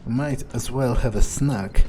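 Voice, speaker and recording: A man's voice: medium pitch, slow pace, mellow, soft background music. A man narrating a video game playthrough in character, thinking aloud in a hesitant, understated way. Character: calculating, detached vampire mercenary for hire. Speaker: player voicing their character in a let's play.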